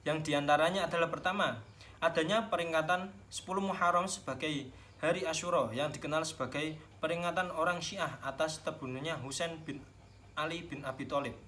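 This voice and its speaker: A man's voice: a little high, fairly quick, pushed and bright, a little hiss or hum. A young man with a Javanese accent, speaking as a student teacher giving classroom instruction.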